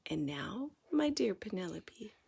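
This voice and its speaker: A woman reading a children's story aloud as an expressive narrator, dramatic and deliberate in her delivery. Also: mid-range voice, vocal fry, a little hiss or hum. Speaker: storybook reader.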